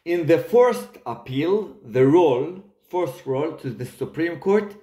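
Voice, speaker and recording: A man with an Israeli accent speaks English slowly and deliberately, in a slightly high voice. He halts often, restarting phrases and filling gaps with "uh". The sound is a roomy phone recording. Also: animated, dark tone. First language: not English. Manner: halting, many fillers and restarts. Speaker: litigant making a video statement. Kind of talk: self-recorded complaint monologue.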